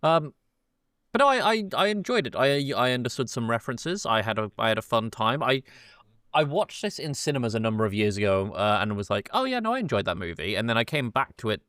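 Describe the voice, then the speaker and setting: A man's voice: mid-range voice, big pitch swings, a little fast, fairly bright tone, clean tone, no room sound, clean studio sound. A man hosting a podcast, chatting loosely and casually about film, correcting himself and swearing offhand. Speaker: podcast host.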